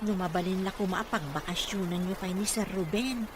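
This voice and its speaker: A woman's voice: mid-range voice, fairly quick, background noise, natural voice. A woman playing a devoted, respectful therapist to a rich boss in an Ilocano radio drama. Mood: concerned.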